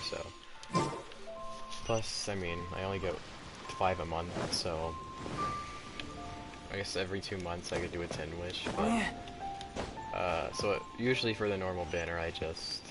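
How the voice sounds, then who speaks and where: A man giving casual, rambling gameplay commentary, hesitant and often trailing off mid-thought. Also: deep voice. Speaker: gaming video maker. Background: game music.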